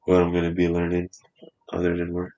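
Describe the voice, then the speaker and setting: A man's voice: deep voice, monotone, fairly quick, dark tone, dull audio. A man in his twenties chatting casually on a podcast in a studio, rambling and swearing freely. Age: 20s.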